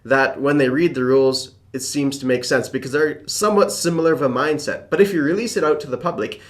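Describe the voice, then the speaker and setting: A man's voice: mid-range voice, brisk. A young man whose first language is Czech, chatting casually with a guest on a video call from a room at home. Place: home room with shelves and framed picture.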